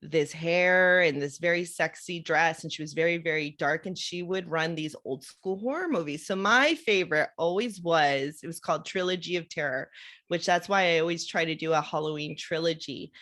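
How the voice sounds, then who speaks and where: Woman, middle-aged, podcast host, casual chat, filler-heavy storytelling, slightly low voice. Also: normal speed. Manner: chatty, animated storytelling with frequent fillers and laughs.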